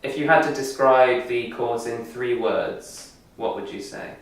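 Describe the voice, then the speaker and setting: A woman's voice: extremely low pitch, a little slow. A woman interviewing a student, encouraging, with frequent mm-hmms. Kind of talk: interview questions. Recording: roomy echo, mic at a distance.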